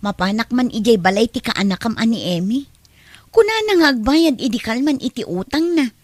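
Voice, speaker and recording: A woman's voice: on the high side, expressive, a little fast, no room sound. An actress playing a wary neighbor woman who nags about debts, in an Ilocano radio drama. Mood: exasperated.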